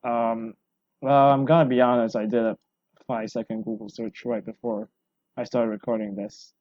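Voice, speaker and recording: A man hosting a solo podcast, rambling and self-deprecating, his voice hoarse from talking a long time. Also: medium pitch, flat delivery, normal speed, no echo, lo-fi sound. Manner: rambling, self-deprecating, full of fillers and restarts. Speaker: podcast host.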